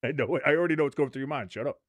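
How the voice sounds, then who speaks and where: A man hosting a casual watch-along, joking, swearing and shouting at big plays. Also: mid-range voice, very fast, no echo. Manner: excitable, profane, jokey, shouts at big plays. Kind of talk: casual live match commentary.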